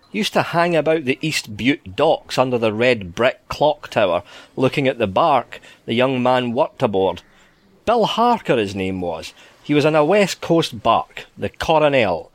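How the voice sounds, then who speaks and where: A man's voice: medium pitch, dry recording. A man narrating a scripted sea yarn dramatically, in a colloquial storyteller's manner.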